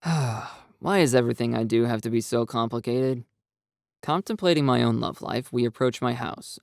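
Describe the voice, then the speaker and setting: Expressive, gravelly man narrating a story. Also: medium pitch, dry recording, clean studio sound. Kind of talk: first-person narration of a visual novel.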